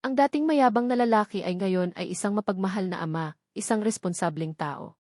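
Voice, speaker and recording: A woman's voice: medium pitch, fairly quick, a little mellow, no room sound, studio quality. A woman reading a sentimental story as dramatic scripted narration.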